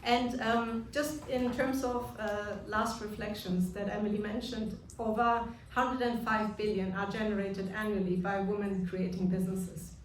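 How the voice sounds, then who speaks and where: A woman in her thirties from Germany with a German accent gives an earnest awards-evening speech, pausing often on fillers. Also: medium pitch, fairly even intonation, slight background noise. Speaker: award speaker. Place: large echoey function room. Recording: echoey room, distant mic, music behind.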